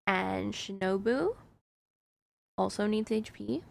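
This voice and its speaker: A woman's voice: mid-range voice, slow, creaky. A woman streamer chatting casually while she plays, answering her chat with plenty of fillers and little laughs.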